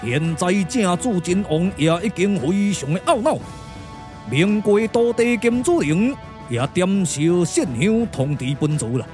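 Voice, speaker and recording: A man's voice: sits high, sing-song, over music. A man voicing a proud, wrathful ancient Taoist immortal in a Taiwanese puppet-theatre radio drama, declaiming grandly with a Taiwanese accent. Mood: indignant, menacing. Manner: grandiose, declamatory, drawn-out chanting.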